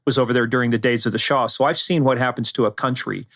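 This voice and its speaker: A man's voice: mid-range voice, steady tone, fast, fairly bright tone, dry recording, studio quality. A middle-aged or older man with an American accent, giving emphatic, informal, anecdotal answers as an expert interview guest. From United States, spent part of childhood in Iran. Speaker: security expert guest, former law enforcement advisor.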